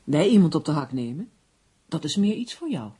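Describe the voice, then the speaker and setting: Dark husky-voiced earnest crime writer. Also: female voice, sits low, sing-song, brisk, heavily acted. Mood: insistent. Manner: serious, insistent. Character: earnest, worried crime novelist under threat. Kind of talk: Dutch radio play acting.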